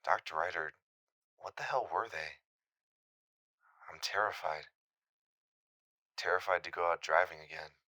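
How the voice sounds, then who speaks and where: An actor playing a shaken, haunted man in an audio drama, recounting a terrifying encounter in a halting, hesitant voicemail monologue. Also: low-pitched, sharp tone, no room sound, studio quality, full character voice. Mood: frightened, pleading.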